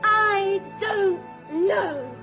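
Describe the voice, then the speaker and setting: A young countess with a very high, girlish voice. She is impulsive, dreamy and full of life in radio-play style, with music clearly behind her. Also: expressive, drawn-out words, exaggerated voice. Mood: rapturous, dreamy. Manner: breathless, girlish, rapturous. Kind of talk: radio drama acting.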